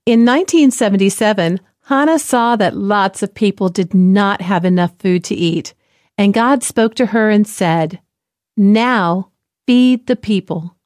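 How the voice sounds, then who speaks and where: A woman narrating a story in a gentle, clear, measured reading style. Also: medium pitch, no room sound, studio quality. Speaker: presenter.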